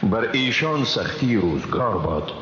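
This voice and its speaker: A lowish male voice with an Afghan Dari accent rings out in long reverb, a little muffled. He reads translated scripture formally, with solemn and careful phrasing. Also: sing-song, a bit bright. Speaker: translation reader. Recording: long reverb, dull, faint noise.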